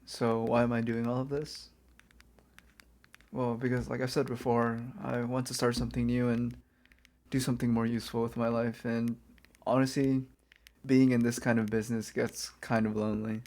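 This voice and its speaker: A man playing a lonely reformed supervillain kidnapper in an ASMR roleplay, speaking softly and casually to the listener in a hesitant, coaxing way. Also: medium pitch, level tone, normal speed, natural voice. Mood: earnest, confiding. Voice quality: soft-spoken. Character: reformed male supervillain kidnapper, lonely, apologetic, gently friendly. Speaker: ASMR audio performer. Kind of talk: soft-spoken ASMR roleplay, addressing the listener.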